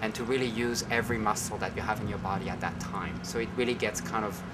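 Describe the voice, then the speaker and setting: A man from Belgium in his thirties, a ballet choreographer, explains his work in a loose, searching way, full of small pauses and fillers. His voice sits on the low side. The recording is taken from a distance, with a loud, constant background hum. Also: steady tone. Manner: thoughtful, searching for words with many fillers. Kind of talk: casual interview talk.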